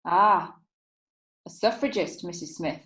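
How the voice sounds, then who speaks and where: A woman's voice: fairly low pitch, takes it slowly, pushed and bright, roomy sound. An actress playing a crafty female defence lawyer in a period courtroom play, formal and insinuating. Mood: leading, insinuating. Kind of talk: theatrical courtroom play acting.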